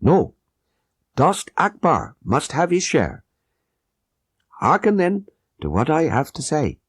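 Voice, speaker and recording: A man's voice: a little high, huge pitch swings, takes it slowly, slightly rough, no echo, studio quality, voice pushed up, full character voice. A man voicing a grave, persuasive Sikh guard who conspires in solemn, formal speech for an audiobook. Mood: solemn persuasion.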